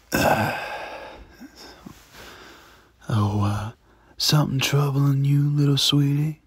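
Man playing a breathy, gravelly, gentle demon charmer. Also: medium pitch, no room sound, full character voice. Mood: tender, concerned.

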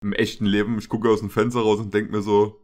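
A young man hosting a reaction video, rambling casually in a halting, repetitive, jokey way. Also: on the low side, little pitch movement, a little mellow. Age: young adult. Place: small indoor room with shelves.

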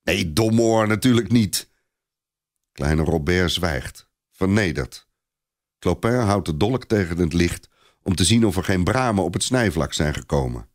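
A man's voice: deep voice, expressive, unhurried. A man narrating a scripted story expressively, as a storyteller.

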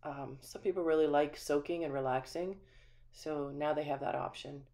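A woman's voice: sits low, fairly even intonation, normal speed, mellow. A woman managing a manufactured home community, giving a friendly, chatty walkthrough of a renovated house with frequent ums. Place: empty small house, indoors, then voice-over.

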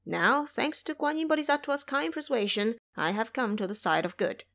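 A brash immortal monkey warrior, played by a woman in an audio drama. He speaks high and emphatically, boasting like a proud fighter. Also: animated, normal speed, creaky, a bit bright. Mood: indignant, explaining. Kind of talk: audio drama character acting.

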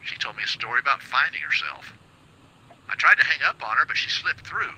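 A man's voice: medium pitch, expressive, brisk, sharp tone, breathy edge, heavily acted, poor audio. A man playing a meddling, easily astonished radio producer in a video game radio drama. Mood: wry, confiding. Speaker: radio producer screening calls.

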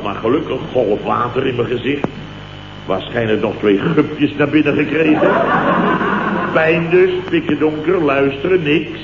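A man's voice: medium pitch, lo-fi sound. Indignant Dutch male boss, mock-dramatic and clipped, vintage radio comedy, heavy hiss and hum in a roomy recording. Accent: Dutch, from the Netherlands. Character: blustering, indignant male company boss telling tales. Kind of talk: 1960s-70s radio comedy acting.

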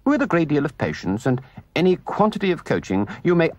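A man voicing a sceptical, stubborn river rat from a storybook, speaking in a measured, drily understated way. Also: fairly high pitch, very animated, pitched above the performer's own voice. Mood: innocently teasing. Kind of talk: storybook character voice.

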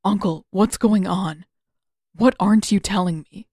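A woman's voice: medium pitch, unhurried, a little mellow, breathy edge, no room sound, clean studio sound. A woman voicing a worried, bewildered teenage prince with hesitant questions in an audiobook. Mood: tense, demanding.

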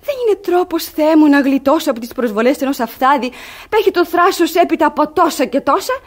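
A crafty young ward in a classic radio theatre comedy. Her voice sits high and moves in wide, expressive sweeps. She sounds artfully indignant and coaxing, every phrase staged as wounded innocence. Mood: plaintive protest.

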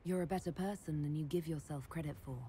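An actress playing a supportive, composed young woman leader in a video game, calm and direct. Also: low-pitched, fast talker, a little hiss or hum, lightly acted. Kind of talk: video game cutscene acting. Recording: music behind the voice.

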